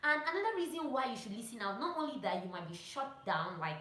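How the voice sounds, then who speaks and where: A woman giving casual, animated instructional vlog talk, telling anecdotes and repeating phrases for emphasis. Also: a little high, fast. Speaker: vlogger and pilot sharing training tips. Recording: roomy echo.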